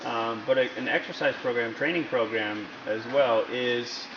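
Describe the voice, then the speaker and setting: A man's voice: medium pitch, normal speed. A man working as a fitness trainer, asking questions in a casual interview. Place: gym. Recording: echoey, muffled, noisy room recording.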